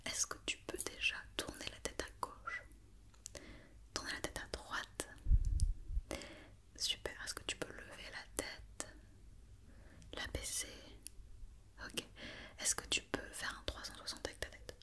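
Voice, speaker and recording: A woman speaking in a soft, breathy whisper, playing a patient, methodical doctor in an ASMR check-up roleplay. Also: unhurried, long pauses. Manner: patient, methodical, repeating instructions and questions. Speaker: ASMR performer playing a doctor examining a robot.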